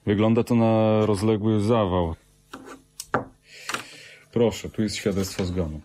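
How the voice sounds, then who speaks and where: Actor as low-voiced, businesslike emergency doctor. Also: male voice, fairly even intonation, unhurried, noisy background, lightly acted. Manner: matter-of-fact. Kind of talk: Polish radio drama acting.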